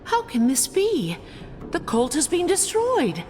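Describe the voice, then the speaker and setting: Earnest elf warrior. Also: female voice, high voice, wildly swooping pitch, slow, darkish tone, faint music behind, heavily acted. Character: young female elf warrior, earnest and dutiful.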